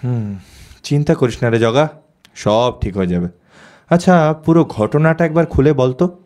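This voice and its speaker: A man's voice: on the high side, lively intonation, moderate pace, darkish tone, lightly acted. A man playing a shrewd, confident young amateur detective in an audio story. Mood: gentle, reassuring. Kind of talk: Bengali audio story acting.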